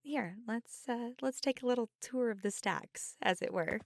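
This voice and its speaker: A woman's voice: on the high side, moderate pace, creaky, dry recording, studio quality. A young woman playing a friendly, easily flustered college bookshop clerk in a chatty ASMR roleplay. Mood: helpful, brisk. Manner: chatty, stammering when flustered. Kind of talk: ASMR roleplay, scripted one-sided conversation.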